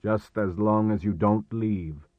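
A man voicing a steady, commanding adventurer in an audiobook, calm, measured and terse. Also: on the low side, dry recording, narrow, tinny audio. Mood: calm, firm. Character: steady, commanding male adventurer calming companions. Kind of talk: audiobook character dialogue.

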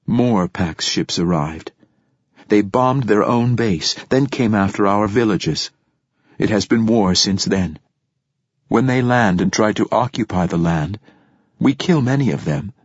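A man voicing a battle-worn woman rebel soldier in a storybook, grave, deliberate and defiant. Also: fairly low pitch, husky, no echo, lo-fi sound. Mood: grimly defiant.

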